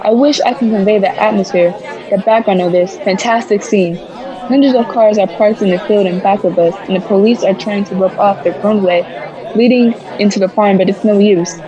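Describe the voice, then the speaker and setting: A woman's voice: mid-range voice, normal speed, muffled recording, natural voice. A woman playing a radio news reporter in a radio drama, giving dramatic, formal live commentary from the scene. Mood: intrigued. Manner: dramatic, formal on-the-spot describing. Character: excited radio field reporter, a woman, describing a mysterious landing. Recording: busy crowd noise around the voice. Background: crowd in a public space.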